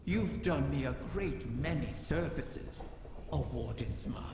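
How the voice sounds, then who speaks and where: An actress playing a sly female captive dragon in a video game, slow and taunting with mock courtesy, creaky and at times whispery. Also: extremely low pitch, lively intonation, background noise, heavily acted. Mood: sly, grand. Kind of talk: theatrical video game voice acting. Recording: long cavernous reverb.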